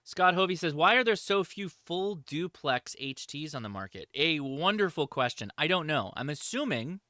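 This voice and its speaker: A man's voice: a little high, lively intonation, normal speed, sharp tone, no room sound, clean studio sound. A man hosting a livestream, answering chat questions in a casual, informal, enthusiastic hobbyist way.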